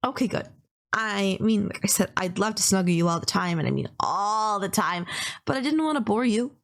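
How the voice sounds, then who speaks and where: A woman's voice: mid-range voice, lively intonation, moderate pace, creaky. A woman playing a polite, gushing young female kidnapper in an intimate anime-style roleplay, earnest, apologetic and rambling, obsessively in love. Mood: earnest, fussing.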